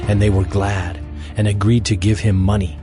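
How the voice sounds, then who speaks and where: Solemn low male narrator. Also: little pitch movement, moderate pace, music in the background. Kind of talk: scripted scripture narration.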